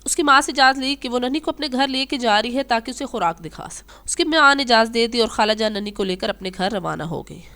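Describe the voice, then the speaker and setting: A woman storyteller with a somewhat high voice. She reads a scripted moral tale for children, moving through it as narration. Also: expressive, fairly quick, few pauses, a bit bright.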